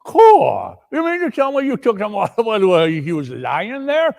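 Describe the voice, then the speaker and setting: A man's voice: high-pitched, huge pitch swings, measured pace, gravelly, a little mellow, voice pushed up, full character voice. A man voicing an amused, incredulous crooked dealer in stolen goods, chuckling and colloquial. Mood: amused disbelief. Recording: reverberant hall.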